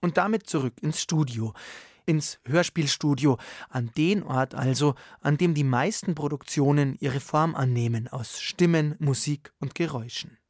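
A man presents a radio culture magazine in a dry, close studio sound. His voice sits a little higher than most men's. He moves smoothly from one item to the next. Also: moderate pace, darkish tone, no echo. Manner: smooth, linking announcements.

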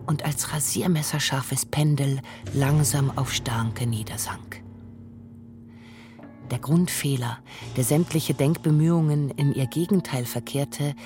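Low voiced woman narrating a literary audio drama, measured and formal, soft music under it. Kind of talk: scripted literary narration in a radio play. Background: faint music.

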